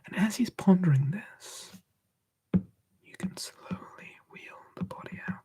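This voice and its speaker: A storyteller telling folklore in a slow, hushed, playful whisper, ASMR style. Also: medium pitch, animated, breathy edge.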